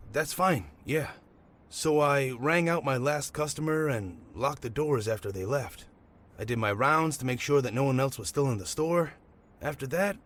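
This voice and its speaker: A man's voice: mid-range voice, lightly acted. A man playing a nervous, chatty comic shop owner in an audio drama, stammering and wry. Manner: nervous, stammering, wryly talkative. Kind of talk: naturalistic audio drama acting.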